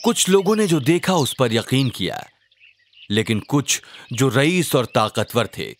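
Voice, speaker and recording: Expressive male storyteller with lively pitch. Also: a little hiss or hum. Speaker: narrator. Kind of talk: scripted storytelling narration for a children's religious cartoon.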